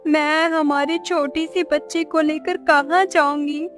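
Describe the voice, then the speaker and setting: Weary young mother for a cartoon: very high voice, slow plaintive delivery. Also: fairly even intonation, soft background music. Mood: pleading. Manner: plaintive, pleading. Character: pleading, helpless young mother, cast out and weary. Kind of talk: acted dialogue in Hindi moral-story cartoon.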